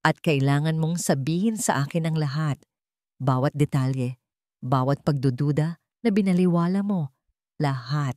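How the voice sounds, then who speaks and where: A woman's voice: low voice, moderate pace, mellow, dry recording, lightly acted. A woman narrator plays a guarded protector with a Filipino accent, urgent and firm, giving instructions to a hunted friend in an audio story. The recording is dry and close. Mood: calm, firm.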